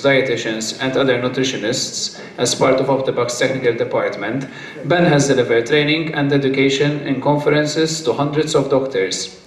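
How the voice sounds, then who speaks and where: Formal man introducing a speaker. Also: mid-range voice. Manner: formal, measured reading. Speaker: conference moderator. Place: conference hall. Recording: echoey hall with faint noise.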